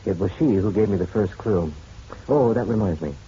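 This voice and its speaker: An actor playing a hardboiled private detective in a radio drama, with a wry, unhurried, tough delivery. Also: male voice, on the low side, dark tone, a little hiss or hum, lightly acted. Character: hardboiled male private detective, dry and worldly. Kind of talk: radio drama acting with noir first-person narration. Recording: dull lo-fi radio drama recording.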